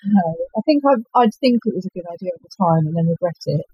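A woman, an author chatting in an interview in a halting, self-effacing way. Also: on the low side, animated, mellow, husky, no echo, lo-fi sound. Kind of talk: casual interview chat.

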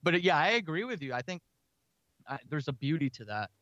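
A man talking as an interview guest, reflecting earnestly and self-critically on his craft in halting, explanatory phrases. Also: a little high, sing-song, a bit bright, lo-fi sound. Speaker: guest writer and podcaster.

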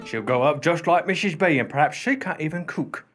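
An actor playing an irreverent, wisecracking working-class porter in a radio play, with a chatty, teasing manner. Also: male voice, a little high, lively intonation, brisk, fairly bright tone, faint music behind. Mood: cheeky teasing.

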